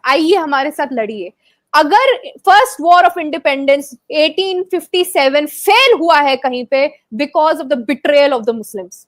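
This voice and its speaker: High pitched woman in a heated debate, keeps firing questions, lots of uh's, dry mic. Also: no echo. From Kashmir. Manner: forceful rhetorical questions with frequent hesitant fillers. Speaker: debate panelist.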